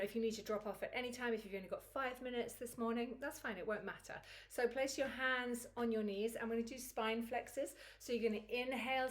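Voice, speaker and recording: A woman with a croaky, recovering voice teaching a Kundalini yoga routine in a chatty, encouraging way. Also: on the high side. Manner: chatty and encouraging, laughs easily. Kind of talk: guided yoga class instruction.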